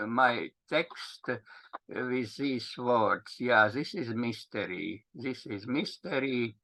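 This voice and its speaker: Slow, bright-voiced man with a Latvian accent. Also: medium pitch. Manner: halting, searching for words, frequent restarts. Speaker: speaker giving a linguistics talk. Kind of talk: unscripted lecture in non-native English.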